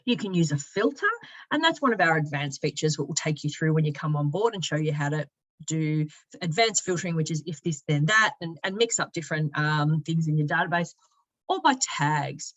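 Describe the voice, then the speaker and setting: A woman giving a conversational software walkthrough as a company presenter, explaining each step informally. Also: deep voice, expressive, a little fast, no echo, highs cut off. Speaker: sales and marketing director giving a product demonstration.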